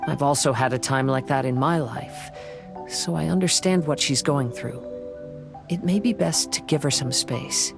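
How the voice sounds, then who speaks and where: Casting: a man playing a wise, gentle young man, mid-pitched and calm, music underneath. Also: moderate pace, creaky, lightly acted. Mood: reflective. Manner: calm, reflective. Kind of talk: video game voice acting. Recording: game dialogue over background music.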